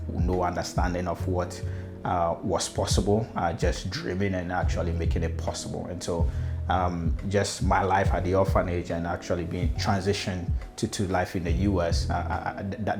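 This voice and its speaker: A man with a Ghanaian accent telling his own story off the cuff, hesitantly, often repeating words as he goes. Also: low-pitched. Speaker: speaker telling own life story, customer experience consultant. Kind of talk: personal storytelling, unscripted.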